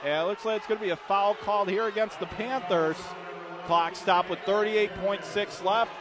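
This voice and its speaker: A man's voice: sits high, steady tone, moderate pace, a crowd in the background. A man doing live radio play-by-play of a basketball game as the announcer, describing the action in short clipped phrases. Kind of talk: live radio sports commentary. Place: indoor basketball arena. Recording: noisy live gym, bouncing ball behind. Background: loud game ambience, basketball bounces.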